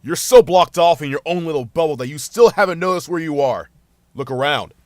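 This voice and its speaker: A man's voice: fairly high pitch, a little fast, darkish tone, no echo, clean studio sound, lightly acted. A man playing a grieving woman's mind as a blunt, exasperated, sweary inner voice in an audio drama, confronting her with pointed questions. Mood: angry, exasperated. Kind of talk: amateur audio drama acting.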